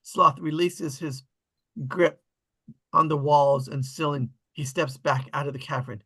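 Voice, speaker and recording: A man's voice: a little high, steady tone, measured pace, sharp tone, breathy edge. A man reading screenplay stage directions as scripted narration at a table read.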